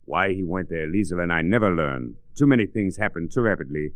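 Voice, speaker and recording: A very low-pitched, earnest doctor of middle age in an old radio drama. He phrases things formally and with care, and the sound is dry and close. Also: animated, a little fast, dry recording. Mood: earnest, grave. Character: grave, earnest middle-aged European doctor, male. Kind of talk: 1940s radio drama acting.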